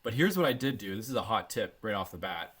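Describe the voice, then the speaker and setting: Laid-back, low-voiced Canadian man. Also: quick pace, breathy edge. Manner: relaxed, rambling, with many fillers and restarts. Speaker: podcast guest, bassist. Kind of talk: casual interview chat.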